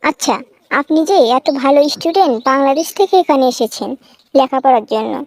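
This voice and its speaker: A woman's voice: high voice, lively intonation, brisk. A woman playing a polite, curious young university student from the city in a cartoon comedy, with a standard Dhaka accent and a questioning manner. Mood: apologetic. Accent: standard Dhaka Bangla.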